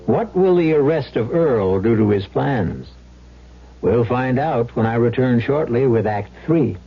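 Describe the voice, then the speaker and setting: A man's voice: medium pitch, animated, a little slow, background noise. A man hosting an old-time radio suspense drama, delivering scripted narration. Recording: muffled old radio broadcast. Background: music.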